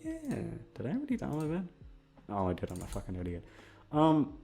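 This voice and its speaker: A man's voice: fairly high pitch, huge pitch swings, brisk, gravelly, dark tone. A young man rambling in a casual chat, with a goofy, self-deprecating and sweary way of talking. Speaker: audio content creator. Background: background music.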